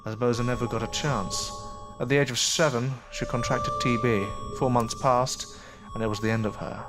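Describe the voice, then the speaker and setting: A man's voice: mid-range voice, steady tone, moderate pace, over loud music, lightly acted. A man acting an earnest, grieving father who looks into magic in an audio drama. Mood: sombre.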